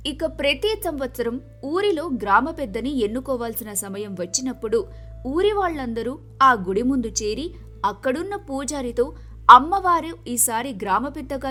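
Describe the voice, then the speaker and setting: A woman's voice: high voice, sharp tone. A woman narrating a scripted moral story as its storyteller.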